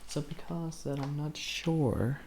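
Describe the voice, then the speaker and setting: A man doing a soft-spoken ASMR roleplay as a shop assistant, talking in a hesitant, halting, unhurried way. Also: fairly high pitch, a little hiss or hum. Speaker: ASMR creator playing a keyboard shop assistant.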